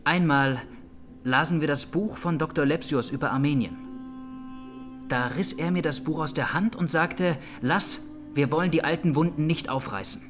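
An actor playing a reserved young trial witness in a radio drama, answering tersely and matter-of-factly. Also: male voice, a little high, moderate pace, a bit bright, faint music behind, lightly acted. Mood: guarded. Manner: terse, careful, matter-of-fact. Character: Armenian consulate secretary of twenty-three, reserved trial witness.

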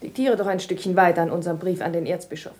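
A woman's voice: on the low side, steady tone, quick pace, noisy background, close to an everyday voice. An actress playing a devout, dutiful grown daughter caring for her old father, speaking earnestly and carefully in a German radio play. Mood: admonishing. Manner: earnest, careful reading aloud.

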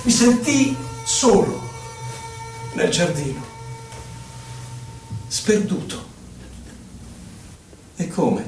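Exiled poet, nostalgic and solemn, male actor: high-pitched lyrical recitation, set back in a roomy space with violin. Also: big pitch swings, drawn-out words, pauses often, a little mellow, exaggerated voice. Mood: lonely, yearning. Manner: dramatic, lyrical, solemn delivery. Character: exiled poet, nostalgic, lovelorn, wandering within himself. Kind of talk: theatrical poetry recital with violin. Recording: roomy, distant voice under violin music. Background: violin music.

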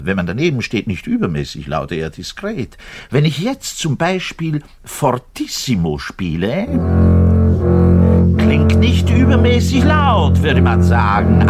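An actor playing an embittered, pedantic orchestral musician in a conversational, digressive radio-play monologue. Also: male voice, on the low side, slightly rough, exaggerated voice. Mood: demonstrative, hushed, then emphatic, boastful. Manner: digressive, emphatic, grumbling. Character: embittered, pedantic male orchestral double bass player, ranting and lecturing.

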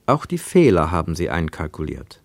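A man's voice: sits low, huge pitch swings, moderate pace, creaky, no room sound, heavily acted. An actor narrating a radio play, voicing the bitter inner thoughts of a brooding man.

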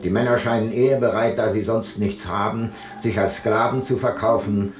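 An actor playing an ancient Greek seafarer, giving his voyage log in a measured, formal radio play narration. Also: on the low side, steady tone, natural voice. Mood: grave, reporting. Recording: very echoey, music behind.

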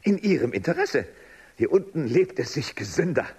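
An actor playing a coldly courteous, patronising official of an underground regime in a German radio drama. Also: male voice, on the high side, sing-song, moderate pace, darkish tone, exaggerated voice. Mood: smug, condescending. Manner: smooth-mannered, patronising, commanding.

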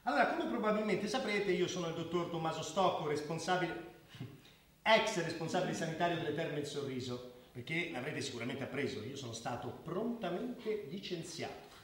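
A man's voice: on the high side, animated, moderate pace, fairly bright tone, far from the mic. An actor playing a stubborn, restless middle-aged doctor and father in a naturalistic radio drama, insistent and a little hesitant. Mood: earnest, frustrated. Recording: very echoey room, faint background noise.

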